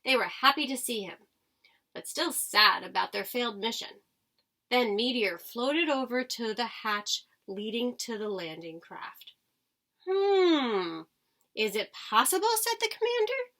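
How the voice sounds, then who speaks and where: A woman's voice: a little high, very animated, unhurried, bright, forceful tone. A woman reading a children's storybook aloud like a teacher, expressively, with dramatic emphasis. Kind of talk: expressive children's storybook read-aloud with short asides to listeners.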